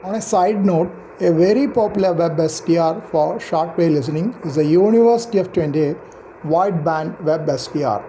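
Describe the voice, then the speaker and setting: A man's voice: fairly high pitch, moderate pace, dark tone, a little hiss or hum, poor audio. A man giving an explanatory, scripted narration for an amateur radio tech video.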